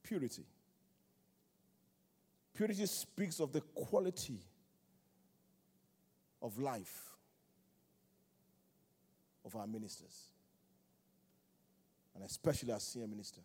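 A man's voice: medium pitch, sing-song, takes it slowly, long pauses, mellow, slightly rough. A man preaching a sermon in a church, repeating key phrases in a rhythmic call-and-response way. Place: large church hall. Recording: long church hall reverb.

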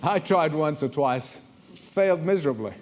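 A man from Egypt, preaching a sermon to a congregation in lively storytelling, often repeating words as he starts a sentence. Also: fairly high pitch, moderate pace, slight background noise. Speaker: pastor. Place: church before a congregation.

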